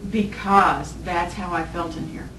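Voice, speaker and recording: A woman speaks earnestly in casual talk with a small group. Her bright voice sounds off-mic in an echoey room, with faint tape hiss. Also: lowish voice, fairly even intonation, a little slow, distant mic. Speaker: group participant. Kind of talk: casual conversation.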